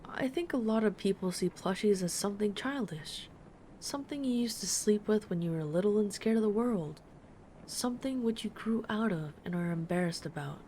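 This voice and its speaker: A woman playing a gentle, affectionate dragon friend in an intimate comforting audio roleplay, speaking softly and tenderly to the listener. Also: mid-range voice, a little hiss or hum, lightly acted. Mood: earnest, thoughtful. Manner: soft-spoken, tender, unhurried reassurance. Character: gentle, affectionate female dragon friend who loves soft, cuddly plushies.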